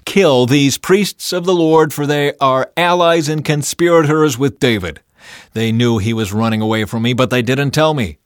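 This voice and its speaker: A man's voice: on the low side, brisk, hardly any pauses, dry recording, close to an everyday voice. Wanted: male narrator as a furious, suspicious monarch for scripture audiobook, barking demands in a dry recording. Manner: forceful, demanding, shouted delivery. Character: angry, paranoid, commanding king accusing his men. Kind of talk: dramatised Bible audiobook.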